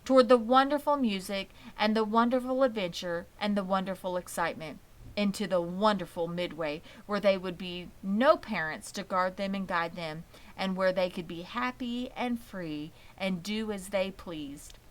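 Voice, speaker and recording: A woman's voice: mid-range voice, normal speed, poor audio. A woman reading a children's story aloud as scripted narration. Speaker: audiobook reader.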